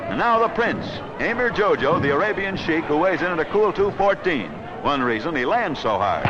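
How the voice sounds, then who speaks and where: A man's voice: a little high, sing-song, normal speed. A man delivering scripted 1940s newsreel wrestling commentary in a wisecracking, theatrical, slangy manner, on an old film soundtrack. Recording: noisy, dull old 16mm newsreel soundtrack.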